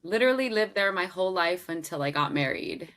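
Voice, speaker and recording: Bright-toned woman telling her story. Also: lowish voice, brisk. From Southern California. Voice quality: loud strong voice. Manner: animated, laughs often, lots of "um" and "like". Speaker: singer and guest. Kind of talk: casual interview storytelling.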